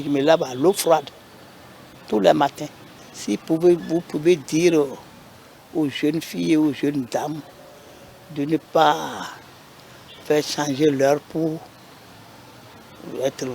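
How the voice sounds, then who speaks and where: Gravelly-voiced woman in her eighties. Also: deep voice, a little mellow, a little hiss or hum, rough recording. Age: 80s. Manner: plain, repetitive, advice-giving. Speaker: interviewee. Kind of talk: testimony to a TV program.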